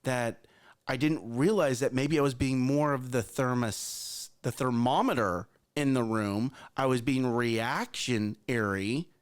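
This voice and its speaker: A man's voice: mid-range voice, unhurried, smooth. Earnest man telling a family story. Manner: earnest, repeats himself, addresses listeners directly. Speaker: morning show co-host. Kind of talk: casual personal storytelling.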